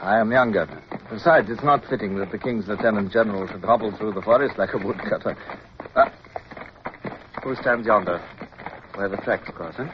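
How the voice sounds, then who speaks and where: Male actor as weary British naval officer, English accent, low voice, formal measured narration, dutiful, vintage radio drama, muffled recording. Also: noisy background, close to an everyday voice. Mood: grave, concerned. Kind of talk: radio drama acting, first-person narration.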